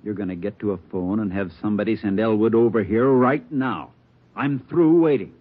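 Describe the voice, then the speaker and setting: A man's voice: fairly quick, close to an everyday voice. An actor playing a crazed male bomber with an American accent, curt and bullying, in a 1940s radio crime drama. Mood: impatient, threatening. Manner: curt, bullying, slangy commands. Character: crazed, menacing male criminal bomber holding police hostage. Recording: muffled, noisy 1940s radio recording, rumble.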